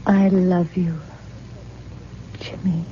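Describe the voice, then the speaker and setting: A woman's voice: lowish voice, little pitch movement, very slow. An actress playing an uneasy, placating young woman in an old-time radio drama, emotive and hesitant on a muffled, noisy old recording. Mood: entranced.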